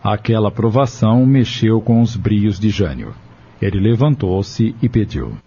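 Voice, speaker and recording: A man narrates an audio drama from a script. His voice is low and husky, with very animated intonation, and the sound is slightly muffled. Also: unhurried.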